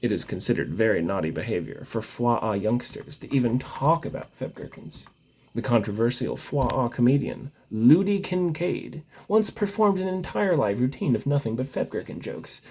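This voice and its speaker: A man with a husky voice reading a comic tale aloud in a mock-solemn, theatrical storytelling manner. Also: medium pitch, animated, moderate pace, darkish tone, slight background noise, poor audio. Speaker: story reader.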